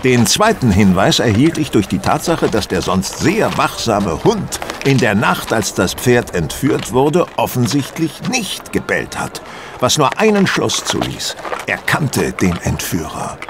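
An actor playing a self-assured, coolly superior detective in a German radio play, explaining with courteous precision and theatrical flair. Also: male voice, medium pitch, sing-song, a little slow, background noise. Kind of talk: German audio drama acting.

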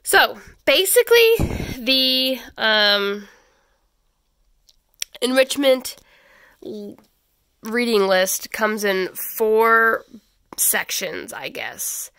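A stuffy, congested woman in her thirties talks through a stack of books in a small home schoolroom, chatty and peppered with ums. Her voice is bright and crisp, with a slight gravelly fry. The close camera mic gives a dry, near sound. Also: on the high side, sing-song, very slow, long pauses, no echo. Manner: chatty, many ums and restarts. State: stuffy from a head cold. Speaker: homeschool channel host. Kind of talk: casual book review walkthrough.

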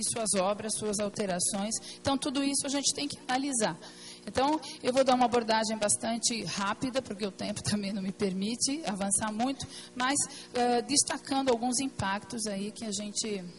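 A woman with a hoarse, partly lost voice, giving a hesitant technical presentation at a council meeting, full of fillers and restarts. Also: mid-range voice, poor audio. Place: meeting room at a plenary session.

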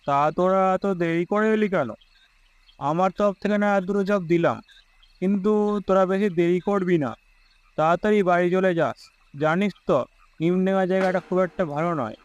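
A man's voice: high voice, moderate pace, pauses often, smooth, no echo, highs cut off, natural voice. A man voicing a kindly, aging village shopkeeper in a cartoon story, familiar and avuncular. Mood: kindly, concerned. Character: kindly aging village snack-shop uncle.

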